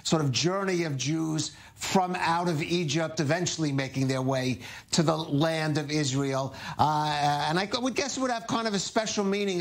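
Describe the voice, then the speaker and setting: A middle-aged man, a TV news anchor, asking conversational, explanatory questions in a studio interview. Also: on the high side.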